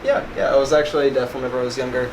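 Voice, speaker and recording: A man's voice: mid-range voice, flat delivery, very fast. A young man from West Virginia with no regional accent, chatting casually as a vlog co-host, rambling with lots of "like" and "uh". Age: young adult. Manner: rambling, filler-heavy, "like" often. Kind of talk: casual chat. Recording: noisy home recording.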